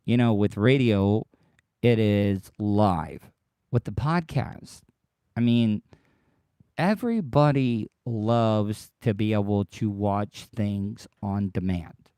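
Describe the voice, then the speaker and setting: A man hosting his own podcast livestream, rambling and repeating himself as he talks straight to his listeners, his voice worn deeper than usual by tiredness. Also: slow, warm tone, clear, resonant tone, dry recording. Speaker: podcast host. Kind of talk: casual solo livestream monologue.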